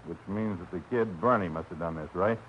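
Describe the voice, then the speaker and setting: An actor playing a tough, red-faced gunman in a 1940s radio drama, talking in a gruff, snarling way. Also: sits low, brisk, natural voice. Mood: threatening. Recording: dull old radio recording with faint hiss.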